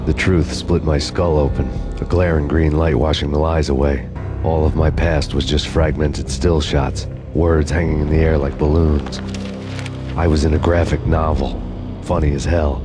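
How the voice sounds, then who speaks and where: An actor playing a brooding, vengeful noir cop who narrates in a deadpan, weary voice for a video game. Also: male voice, very deep voice, moderate pace, music in the background. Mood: grim, weary.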